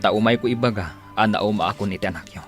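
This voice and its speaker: A man's voice: lowish voice, quick pace, music in the background, natural voice. A man with an Ilocano accent playing a fed-up, stubborn husband in a radio drama. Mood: weary, resolute. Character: fed-up husband, weary and stubborn, confiding in mother.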